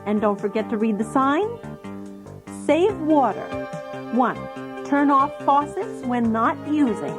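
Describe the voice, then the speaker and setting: A woman playing a brisk, chirpy, eccentric schoolteacher in a children's audiobook, with deliberate, commanding enunciation. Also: sits high, animated, music in the background. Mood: brisk, instructive. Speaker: teacher character in children's read-along.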